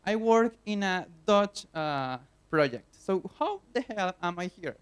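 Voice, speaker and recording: A man's voice: sits high, expressive, measured pace, clean tone. Venezuelan man giving a conference talk. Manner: earnest, plain explaining with frequent fillers. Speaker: conference speaker. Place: conference hall.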